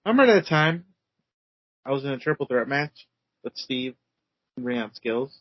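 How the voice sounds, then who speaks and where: A man's voice: mid-range voice, animated, moderate pace, no room sound. A man co-hosting a casual podcast, telling a story in a hesitant, rambling way. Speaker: podcast co-host, wrestler. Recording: dry, dull lo-fi audio.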